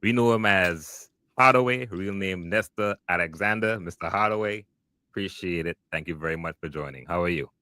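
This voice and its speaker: A man's voice: sits low, moderate pace, pushed and bright. A man hosting a podcast interview, chatting casually and enthusiastically, often repeating himself. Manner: enthusiastic, repeats words like "wow" and "okay". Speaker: podcast host.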